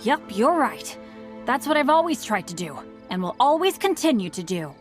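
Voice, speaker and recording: An earnest young woman speaks in a heartfelt, grateful way. Her voice sits a little high. Faint game music plays underneath. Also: big pitch swings, moderate pace. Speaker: game character. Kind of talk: video game voice acting.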